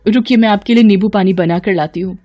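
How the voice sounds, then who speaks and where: A woman's voice: medium pitch, quick pace, dark tone, faint music behind, natural voice. A woman voicing a caring, anxious young daughter-in-law, speaking earnestly and respectfully.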